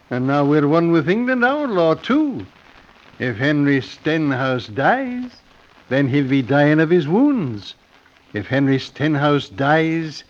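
Singsong Scottish judge, swooping pitch. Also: male voice, heavily acted. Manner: declamatory, repetitive singsong. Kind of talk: old-time radio drama acting. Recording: muffled vintage radio recording.

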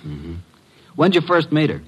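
An actor playing a breezy, wisecracking, flirtatious detective's assistant in a classic radio drama. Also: male voice, on the high side, huge pitch swings, unhurried, husky, full character voice. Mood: breezy, probing. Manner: breezy, casual, glib.

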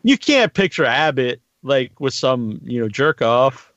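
A man's voice: medium pitch, big pitch swings, a bit bright, dry recording. Middle aged guy podcasting, rambly and jokey, kinda crude, dry muffled mic. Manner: rambling, halting, crude humour. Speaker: podcast host. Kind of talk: casual chat, joking. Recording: muffled lo-fi online stream audio.

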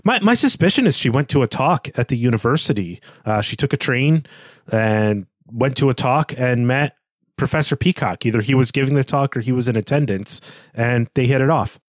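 A man's voice: mid-range voice, animated, normal speed, dry recording, studio quality. A man co-hosting a literary discussion podcast, speaking conversationally and reasoning step by step through his speculations. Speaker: podcast co-host.

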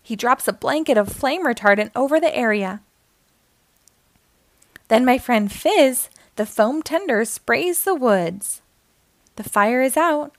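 Animated, high-voiced woman reading a storybook. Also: takes it slowly, pauses often, no echo. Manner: animated, dramatic storytelling for young children. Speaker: storybook reader, host. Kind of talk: expressive children's read-aloud narration.

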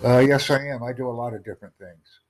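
A man's voice: mid-range voice, quick pace. A man hosting a casual video chat, earnestly promoting his language course and repeating himself. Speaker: language-learning channel host.

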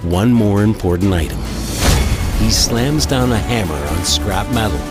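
Male narrator for audio drama: dramatic scripted storytelling, fairly low pitch, prominent music bed. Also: animated, a little slow. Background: loud music.

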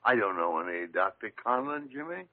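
Old radio drama: a gruff elderly doctor, lively, lo-fi. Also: male voice, lowish voice, fairly quick. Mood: questioning. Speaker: senior hospital doctor. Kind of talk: 1940s radio acting.

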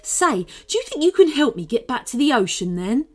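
A woman's voice: sits high, very animated, a little fast, darkish tone. A woman voicing a nervous, fretful male shark who was once a snake, reading a children's story over faint music. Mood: relieved, chatty. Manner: fretful, nervous, then relieved and friendly. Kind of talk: storybook character voice.

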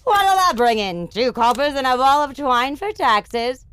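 Imperious, irritable fairytale empress, swooping pitch. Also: female voice, animated, a little slow, fairly bright tone, smooth, no echo. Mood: contemptuous anger. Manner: haughty, commanding, theatrical. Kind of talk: fantasy audio drama acting.

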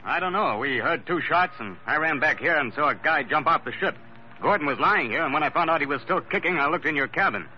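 A man's voice: on the high side, expressive, a little fast, lightly acted. Dull, faintly noisy vintage radio audio frames an actor playing a dutiful deckhand. He is plain-spoken and shaken, reporting to his captain in 1940s drama style. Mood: shaken, grave. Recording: muffled, noisy old radio broadcast.

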